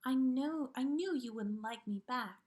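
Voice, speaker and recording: Woman voicing a dreamy, higher-pitched teenage witch girl. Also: a little slow, darkish tone. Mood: shy, confessing.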